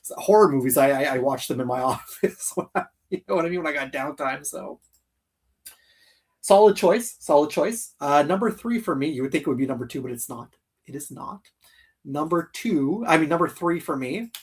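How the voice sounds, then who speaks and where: A man's voice: on the high side, expressive, normal speed, husky, far from the mic. Enthusiastic man chatting on a gaming livestream. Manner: enthusiastic, emphatic repetition of phrases. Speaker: host of a live gaming countdown show. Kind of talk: casual livestream chat. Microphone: streaming call microphone.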